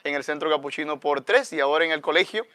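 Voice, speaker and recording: A Salvadoran man speaks as a guest priest in a conversational teaching style. His voice sits a little high and is smooth and clear, in a dry recording. He reflects as he goes, adding short questioning tags. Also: fast talker, sharp tone, no echo. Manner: reflective, often adds a questioning "¿no?".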